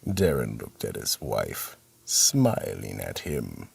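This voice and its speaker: A man playing the sinister keeper of a horror vault, narrating in an ominous, theatrical, deliberate way with a gravelly voice. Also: extremely low pitch, wildly swooping pitch, a little mellow, full character voice. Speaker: host and narrator. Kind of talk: old-time radio horror narration.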